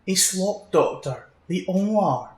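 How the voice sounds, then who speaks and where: A man's voice: high voice, animated, slow, very noisy. Man acting a cocky older soldier, echoey recording. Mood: brash, tough. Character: gruff, cocky older male soldier, a grandfather. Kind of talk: fan audio drama acting. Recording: echoey, reverberant room sound.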